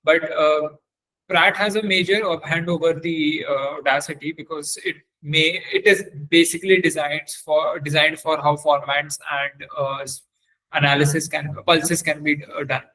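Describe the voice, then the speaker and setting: A man presenting a live software demonstration as a webinar trainer, speaking haltingly and often repeating or correcting himself. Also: on the high side, steady tone, poor audio.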